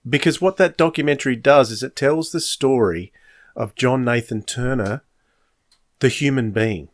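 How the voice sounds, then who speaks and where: A man's voice: mid-range voice, normal speed. Emphatic man hosting a podcast. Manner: emphatic, earnest, repeats phrases for stress. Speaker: podcast host. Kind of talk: opinionated podcast monologue.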